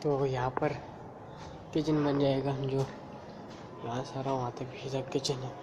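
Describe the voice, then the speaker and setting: A man's voice: mid-range voice, level tone, slow. A teenage boy gives a plain, matter-of-fact tour of an unfinished concrete house, filming on a phone held at arm's length. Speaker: vlogger. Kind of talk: casual house-tour narration. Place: bare unfinished concrete house, indoors and on the roof. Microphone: phone camera held at arm's length. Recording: echoey bare rooms, loud ambient noise.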